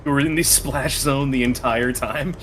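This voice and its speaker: A man's voice: medium pitch, steady tone, normal speed, fairly bright tone, a little hiss or hum. A man playing a tabletop game, bantering casually in a playful, slangy way.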